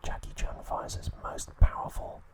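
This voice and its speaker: A man whispering a scripted recap as an ASMR host, in an unvoiced, breathy whisper with slow, measured phrasing. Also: very noisy.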